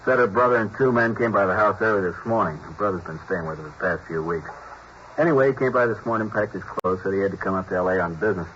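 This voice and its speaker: A low-voiced detective sergeant, reporting the case in quick, businesslike bursts. The sound is dull, with faint hiss, like an old radio show. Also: male voice, a bit bright. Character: male police detective sergeant briefing colleagues.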